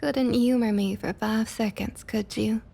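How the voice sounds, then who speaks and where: A woman playing a smug, condescending vampire councilwoman in an ASMR roleplay, with a Southern US accent and a falsely sweet, breathy delivery that often drops to a near whisper. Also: a little high, fairly even intonation, unhurried, dark tone, exaggerated voice. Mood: smug, taunting. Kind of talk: ASMR roleplay, intimate scripted monologue.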